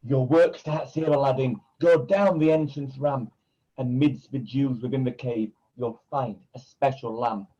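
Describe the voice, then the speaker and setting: An actor playing a scheming pantomime villain who poses as a kindly uncle, speaking in theatrical, wheedling rhyme. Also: male voice, mid-range voice, steady tone, measured pace, dark tone, lo-fi sound, natural voice. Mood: coaxing. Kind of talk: rhyming pantomime acting.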